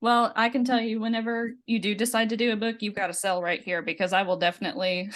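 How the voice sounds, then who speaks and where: Woman podcast host, mid-pitched, eager and encouraging with her guest. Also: little pitch movement, quick pace. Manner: enthusiastic, encouraging, with rambling questions. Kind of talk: casual interview talk.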